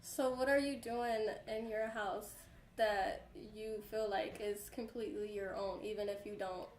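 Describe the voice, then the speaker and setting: A woman's voice: medium pitch, fairly even intonation, unhurried, a little hiss or hum. Hesitant woman interviewer, echoey room. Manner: hesitant, rephrases her questions. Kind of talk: oral history interview. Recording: roomy echo.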